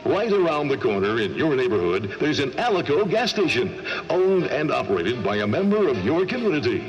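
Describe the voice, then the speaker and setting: Man, 1940s commercial announcing, varied pitch, muffled broadcast sound, music behind. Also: sing-song, a little fast. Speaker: radio announcer. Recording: muffled vintage radio broadcast.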